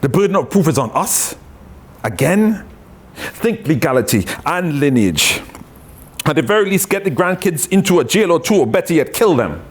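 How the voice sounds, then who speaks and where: A man's voice: on the high side, very animated, moderate pace, breathy edge. A poet from Trinidad with a Trinidadian accent, chatting loosely with many tag phrases and reading his own poetry.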